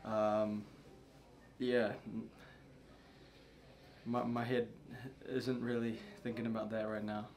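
A young man, a soccer player, answering questions at a post-match press conference in a subdued, halting way with many fillers. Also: on the low side, little pitch movement, darkish tone, slight background noise. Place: press room before a sponsor backdrop.

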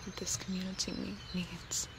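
A woman's voice: fairly low pitch, little pitch movement, close to an everyday voice. A woman in her twenties playing a serene young cult member in a whispered, soothing ASMR roleplay, close to a binaural mic. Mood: serene, reverent. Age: 20s. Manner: hushed, slow, soothing, often whispering. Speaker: ASMR performer. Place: outdoors among trees. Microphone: close binaural microphone. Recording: outdoor nature noise, birdsong.

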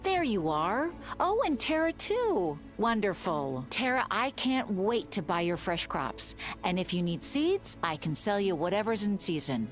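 Bustling female village shopkeeper, friendly, animated, game music behind. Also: mid-range voice, unhurried, hardly any pauses. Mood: pleased.

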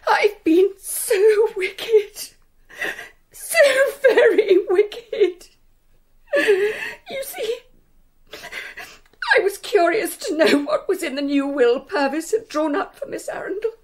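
High, fluttery, guilt-ridden spinster. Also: female voice, very animated, slow pace, long pauses, full character voice. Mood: tearful, guilty. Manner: fluttery, plaintive, confessing. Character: meek, flustered, guilt-ridden spinster companion. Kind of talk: radio drama acting.

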